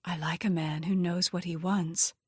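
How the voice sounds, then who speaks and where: A woman's voice: lowish voice, fairly even intonation, normal speed, breathy edge, no room sound, clean studio sound. Woman narrator as a menacing, scheming criminal woman. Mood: sly, flirtatious. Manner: menacing, taunting, condescending. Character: scheming, threatening, vain woman crime boss's underling.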